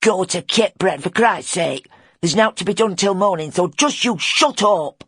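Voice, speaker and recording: A woman's voice: lowish voice, lively intonation, a little slow, husky, no echo, clean studio sound, voice pushed up, heavily acted. A woman voicing a scowling, irritable poor boy with a Northern English accent, curt and grumbling, in an audiobook. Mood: irritated, snapping. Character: scowling, irritable poor slum lad, blunt and impatient. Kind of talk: audiobook character acting.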